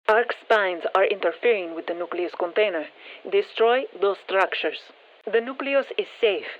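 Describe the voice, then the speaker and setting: An actress playing a composed scientist who gives soldiers matter-of-fact, dryly wry mission instructions through a radio filter in a game. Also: female voice, mid-range voice, moderate pace, dull audio. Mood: calm, instructive. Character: composed female scientist-engineer guiding soldiers against alien parasites. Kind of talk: scripted game mission briefing.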